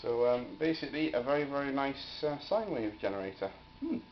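A man's voice: medium pitch, big pitch swings, a little fast. A man giving a casual, hesitant, offhand demonstration of homemade electronics as a hobbyist. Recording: dull home video with faint noise.

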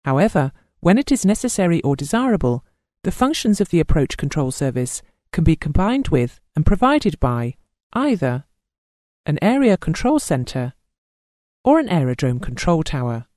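A training course narrator reading scripted instructional material in a formal, measured way, enunciating lists carefully. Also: mid-range voice, very animated, darkish tone, no room sound, clean studio sound.

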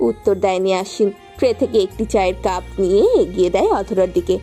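A woman's voice: medium pitch, steady tone, quick pace, mellow, soft background music. A woman reading a scripted romantic story as its narrator.

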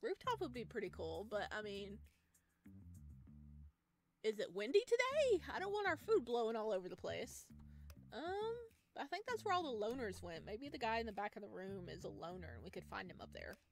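A woman's voice: medium pitch, big pitch swings, normal speed. Woman streamer reading a visual novel aloud. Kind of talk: reading game text aloud with casual commentary. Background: game music.

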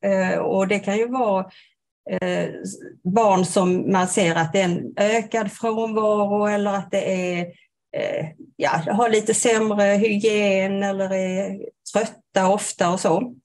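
A woman presents at a seminar over a video call, pausing often with fillers and restarting her sentences. Her voice sits at a middle pitch. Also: steady tone, darkish tone. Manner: hesitant, many fillers and restarts. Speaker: development leader for children and youth.

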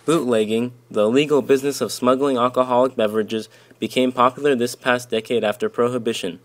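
A man's voice: medium pitch, steady tone, fairly quick. A man hosting and reporting in a scripted radio read. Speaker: radio host and crime reporter.

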